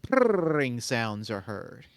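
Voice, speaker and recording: A man reading the stage directions in a table read, in a quiet, matter-of-fact way. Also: mid-range voice, very animated, slow pace, dry recording.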